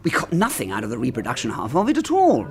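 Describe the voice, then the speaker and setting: An actor with a British accent playing an indignant, sardonic suburban husband in a radio drama. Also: male voice, mid-range voice, wildly swooping pitch, fast. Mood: sceptical.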